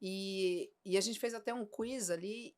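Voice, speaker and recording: A woman hosts a relaxed podcast chat, with a voice of middle pitch. She tells stories in a lively way and turns to her guests with questions. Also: a little slow. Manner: lively storyteller, asks questions. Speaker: podcast host. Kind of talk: casual chat.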